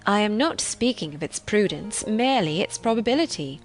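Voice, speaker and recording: A woman voicing a genteel married woman in an audiobook, a calm and teasing friend who reasons in measured, formal period phrases. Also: mid-range voice, soft background music, close to an everyday voice. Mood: gently persistent.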